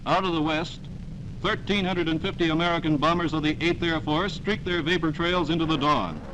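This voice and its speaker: A man's voice: on the high side, brisk, heavy background noise. A man narrating a 1940s newsreel in a dramatic, declamatory scripted style, on a vintage soundtrack.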